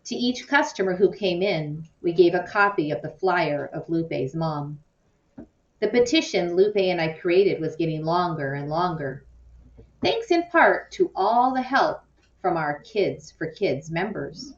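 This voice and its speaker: A woman's voice: fairly low pitch, expressive, unhurried. A woman reading a children's novel aloud with expression, like an audiobook narrator. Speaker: audiobook reader. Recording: muffled, roomy, distant from mic.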